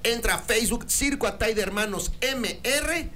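Male host, high bright voice, upbeat teasing promo style. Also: expressive, normal speed, slight background noise. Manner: upbeat, teasing, repeats details. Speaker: show host. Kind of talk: lively promo chat.

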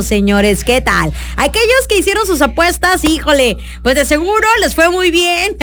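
A woman radio DJ with a Mexican Spanish accent, slightly hoarse, chatting casually and greeting listeners between songs. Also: sits high, a bit bright. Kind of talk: lively radio show chat. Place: radio studio booth.

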